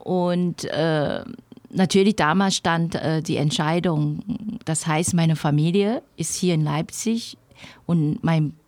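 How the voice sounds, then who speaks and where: A woman's voice: sits low, slow pace, darkish tone, no room sound. A middle-aged woman from Taiwan, a native Chinese speaker with a Chinese accent, answering interview questions in non-native grammar with hesitant, searching phrasing. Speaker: interviewee, language professor. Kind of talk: reflective interview answers in conversational speech.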